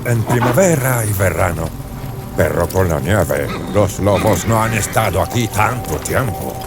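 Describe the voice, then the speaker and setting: Man acting a slow, fearful old-world coachman. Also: low voice, animated, loud music behind the voice. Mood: uneasy, grave. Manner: slow, ominous, halting delivery in short phrases. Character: frightened, superstitious German coachman, grave and earnest. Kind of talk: dramatized audiobook acting.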